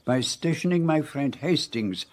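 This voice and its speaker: An actor playing a fussy, courteous Belgian detective in a radio drama, with a French-speaking Belgian accent and precise, formal speech. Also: male voice, on the high side, lively intonation, a little slow. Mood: measured, expository. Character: fussy, theatrical middle-aged Belgian male detective.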